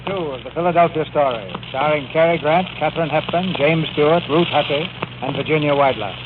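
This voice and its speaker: A man's voice: fairly high pitch, brisk, lightly acted. A man narrating a 1940s radio play in scripted, dramatic scene-setting style. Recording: tinny old radio, loud music underneath.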